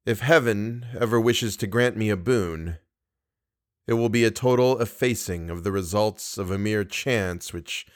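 Man hosting and reading a story podcast. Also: fairly low pitch. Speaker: podcast host and story reader. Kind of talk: casual podcast introduction and audiobook-style narration of a horror story.

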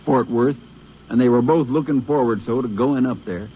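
An actor playing a kindly, folksy country sheriff with a gentle manner, in an old radio drama. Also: male voice, medium pitch, normal speed, a little hiss or hum, close to an everyday voice. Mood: somber. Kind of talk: 1950s radio drama acting. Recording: dull lo-fi old radio recording.

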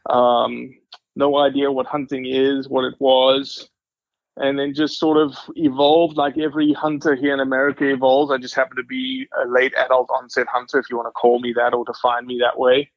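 A man's voice: medium pitch, flat delivery, moderate pace, dry recording, muffled recording. A man in his forties from South Africa with a South African accent, a podcast guest talking casually and humbly, with many restarts. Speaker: guest, wildlife scientist.

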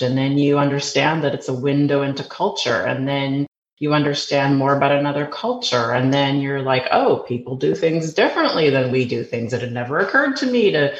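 A Canadian woman, a podcast guest, telling stories about her childhood in a chatty way and restarting sentences as she goes. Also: very deep voice. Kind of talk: conversational interview. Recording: roomy, dull remote recording with faint noise.

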